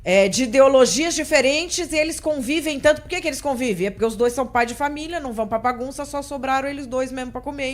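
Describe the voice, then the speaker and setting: A middle-aged Brazilian woman hosting a political interview, speaking bluntly and indignantly in a conversational tone. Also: on the high side. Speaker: interview host. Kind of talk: political talk show interview.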